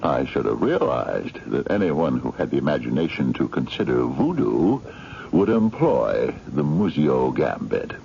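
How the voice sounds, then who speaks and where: Mysterious courtly eccentric, radio drama, gravelly and formal, on a dull, noisy old recording. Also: male voice, a bass voice, big pitch swings, unhurried, dark tone, full character voice. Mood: courtly, sly. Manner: formal, hesitant, insistent, courteous. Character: mysterious, eccentric chess-playing gentleman, coaxing and courtly.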